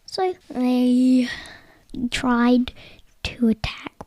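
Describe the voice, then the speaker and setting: High, soft-toned female storyteller. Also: extremely slow, no echo. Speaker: storyteller and podcast co-host. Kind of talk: bedtime story narration.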